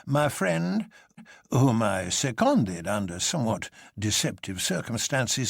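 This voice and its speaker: A man voicing a sober, courteous elderly French colonel in a measured, formal and deliberate manner. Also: mid-range voice, vocal fry, husky, no echo, clean studio sound, exaggerated voice.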